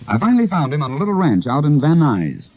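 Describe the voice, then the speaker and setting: A man's voice: fairly high pitch, very animated, quick pace. A man speaking as a producer in a scripted 1930s radio promotion, formal and salesman-like with elaborate phrasing. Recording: dull lo-fi 1930s radio transcription.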